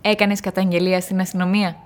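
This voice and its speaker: An actress playing a friendly, adventurous young student neighbour in a radio play, natural and straightforward. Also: female voice, on the low side, little pitch movement, soft background music, lightly acted. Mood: friendly, matter-of-fact.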